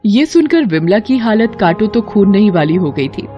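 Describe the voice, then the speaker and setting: A woman's voice: mid-range voice, big pitch swings, moderate pace, warm tone, highs cut off. Woman narrating a dramatic story over music. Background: background music.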